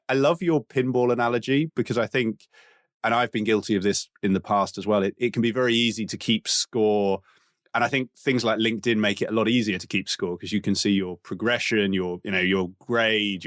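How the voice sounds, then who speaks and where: A man's voice: lowish voice, fairly bright tone, no room sound, clean studio sound. A man hosting a podcast interview, asking long, winding questions and agreeing readily with his guest. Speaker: podcast host.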